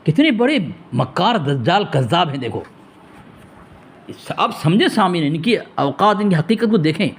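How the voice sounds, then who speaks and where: A man's voice: high voice, big pitch swings, moderate pace, slight background noise. A man in a heated religious debate, speaking in a combative, scolding and repetitive way as he argues and addresses listeners.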